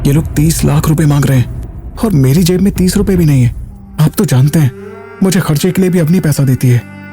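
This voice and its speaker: एक आदमी का निभाया नरम आवाज़ वाला दब्बू दामाद. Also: male voice, fairly high pitch, brisk, mellow, soft background music, close to an everyday voice. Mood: helpless, anxious. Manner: hesitant, apologetic, helpless. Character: meek, anxious, browbeaten young live-in son-in-law. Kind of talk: audio drama acting.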